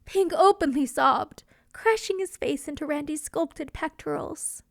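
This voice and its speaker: Very high-pitched woman narrating a story. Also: animated, a little slow, vocal fry, no room sound, studio quality. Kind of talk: scripted dramatic fan fiction narration.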